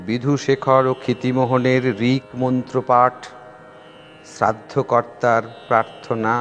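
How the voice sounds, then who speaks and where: A man reading formal, solemn scripted narration for a documentary. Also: mid-range voice. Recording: long reverb, music behind.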